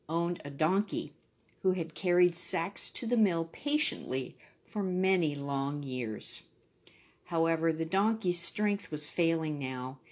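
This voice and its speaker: A woman reading a children's story expressively, adding brief casual asides. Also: deep voice.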